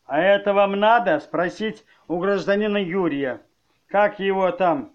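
A high-voiced, thuggish male enforcer played by an actor, blunt and cynical, talking in curt slang. The audio play recording sounds dull and muffled. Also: unhurried. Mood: brusque, mocking. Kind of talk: amateur audio play acting.

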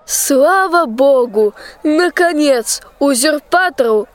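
A woman in a radio play acting a resolute young bride, declaiming earnest, measured rhymed verse. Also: high-pitched, lively intonation, dry recording. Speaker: school student actor.

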